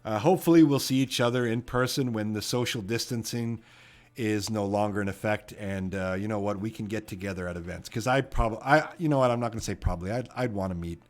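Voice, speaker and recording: A man's voice: lowish voice, fairly quick. Chatty Canadian man hosting a live stream. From Edmonton, Canada. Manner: chatty and rambling, many fillers and "you know what". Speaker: trivia live stream host. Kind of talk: casual live stream chat, reading viewer comments.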